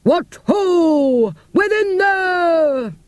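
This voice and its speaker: A woman's voice: lively intonation, extremely slow, no room sound, full character voice. A woman voicing a grown male herald who calls out formal, old-fashioned summons. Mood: stern. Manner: formal, archaic, booming.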